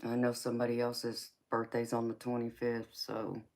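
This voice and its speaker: A woman in her forties talks with her live-stream audience in a relaxed, conversational way. Her delivery stays level and even, with little rise or fall. She fills her talk with endearments like honey and sweetheart. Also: a bass voice, normal speed. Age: 40s. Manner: affectionate, calls viewers baby, honey and sweetheart. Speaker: tarot reader and livestream host. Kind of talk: casual live-stream chat with viewers.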